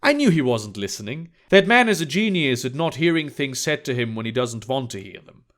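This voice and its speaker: A man's voice: medium pitch, very animated, a little fast, a bit bright. A man voicing a brash, irritated woman sex worker in an audiobook, blunt and dismissive in manner. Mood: scornful. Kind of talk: audiobook character dialogue.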